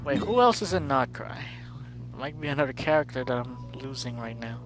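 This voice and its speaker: A man's voice: mid-range voice, expressive, brisk, low-quality recording. A man giving casual live gameplay commentary as a streamer, rambling and reacting, with game music behind him.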